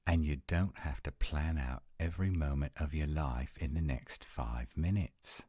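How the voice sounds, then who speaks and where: A man playing an adult male werewolf lover in a romantic roleplay audio, speaking in an intimate, measured, coaxing and reassuring way. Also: a bass voice, dark tone, no room sound, clean studio sound. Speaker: voice actor.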